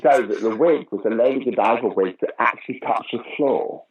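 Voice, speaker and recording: A man's voice: medium pitch, fairly quick, husky, lo-fi sound. An older British man, a pantomime dame actor, telling stage stories in a casual interview. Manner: storytelling, animated anecdotes.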